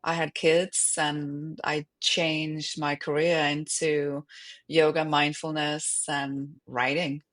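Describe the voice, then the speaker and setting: A woman's voice: sits low, little pitch movement, takes it slowly, fairly bright tone. Middle-aged woman from Denmark, conversational. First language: Danish. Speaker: podcast guest, author and yoga teacher. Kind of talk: conversational interview talk.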